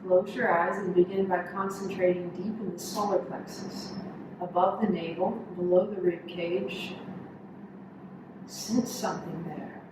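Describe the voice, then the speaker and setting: A woman yoga instructor leading a guided body scan meditation, calmly giving instructions in short, measured phrases with pauses. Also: on the low side. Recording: echoey room, distant mic, noisy background.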